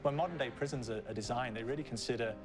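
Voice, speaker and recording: A man's voice: medium pitch, rapid-fire. A man speaking as a game developer in a behind-the-scenes interview, explaining in a conversational way. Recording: clear music bed behind.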